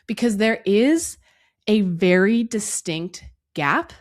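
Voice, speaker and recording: Hoarse, raspy woman podcast host. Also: mid-range voice, animated, takes it slowly. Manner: earnest, reflective, speaking directly to the listener. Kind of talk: solo podcast monologue.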